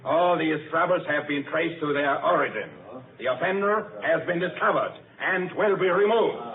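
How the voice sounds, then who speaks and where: An actor playing the cold, commanding leader of a masked secret society in an old radio drama, speaking in a formal, measured, authoritative way. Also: male voice, on the high side. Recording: tinny, band-limited old radio recording.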